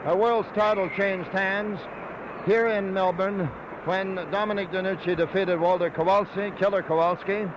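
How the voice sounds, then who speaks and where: A middle-aged man speaks in a high-pitched voice as a wrestling insider being interviewed. He is emphatic and hyperbolic, stumbling now and then. The old radio recording is dull, with clear noise behind him. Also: fairly even intonation, normal speed. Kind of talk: excited on-the-spot interview.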